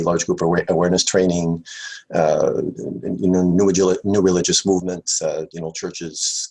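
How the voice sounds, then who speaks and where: A man's voice: low-pitched, darkish tone, slightly rough, low-quality recording. A middle-aged man from Canada and the USA, a nervous guest author who stammers and repeats words while talking on a video call. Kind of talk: interview conversation.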